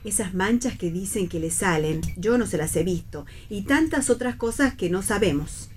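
A woman's voice: mid-range voice, animated, moderate pace, darkish tone, soft background music, natural voice. An Argentine woman playing an anxious, conciliatory middle-aged mother who rambles politely in a theatrical radio play reading. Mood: rambling, earnest.

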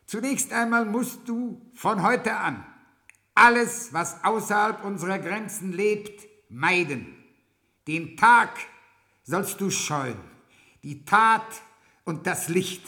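A man playing a shrewd, commanding old troll king in a classic radio play, speaking in a measured, lordly way. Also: sits high, expressive, bright, forceful tone, heavily acted. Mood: lecturing.

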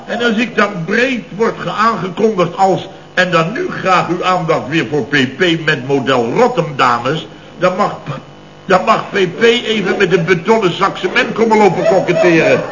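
An actor playing a blustering, middle-aged Dutch building boss in a radio comedy, indignantly spinning out long, exasperated stories. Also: male voice, high-pitched, moderate pace, fairly bright tone. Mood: indignant, sarcastic. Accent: Netherlands Dutch. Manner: indignant, long-winded storytelling with exasperated emphasis. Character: blustering, put-upon male building contractor boss recounting mishaps. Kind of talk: 1970s radio comedy acting. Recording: dull old radio recording.

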